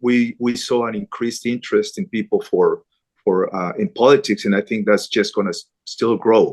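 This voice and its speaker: A man's voice: lowish voice, steady tone, quick pace, darkish tone. A middle-aged man from Colombia, a news anchor, talking earnestly in an interview, with frequent restarts and fillers. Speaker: interview guest, Spanish-language news anchor. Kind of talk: conversational interview.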